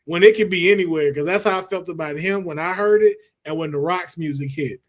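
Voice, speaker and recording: A man's voice: sits high, brisk. A man hosting a podcast, chatting in a casual, teasing way full of slang. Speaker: podcast host. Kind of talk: casual chat.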